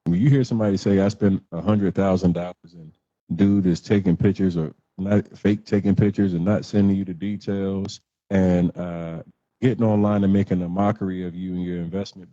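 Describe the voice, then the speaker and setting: A man's voice: steady tone, a little fast, mellow. A man hosting a casual livestream, talking bluntly in slang and repeating words for emphasis. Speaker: host of a livestream show. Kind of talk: casual live talk with commentary. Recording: muffled, boomy roomy livestream audio.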